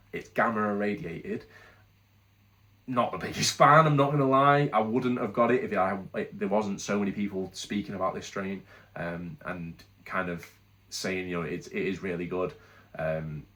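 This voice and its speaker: Congested British male host with a lower voice, talking casually and candidly through a product review. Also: fairly bright tone. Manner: chatty, candid, rambling with restarts. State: congested from a cold.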